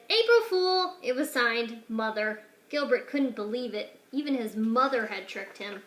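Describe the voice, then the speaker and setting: A woman reading a children's picture book aloud, changing her voice for the characters' lines. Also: roomy sound. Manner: expressive, shifts pitch and loudness for each character.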